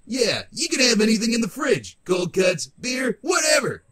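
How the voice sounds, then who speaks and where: A man's voice: very high-pitched, big pitch swings, normal speed, music in the background. A man voicing a manic, bossy masked gangster trickster in a comic-book dub. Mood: brash, scheming. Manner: brash, exclamatory, wildly swooping.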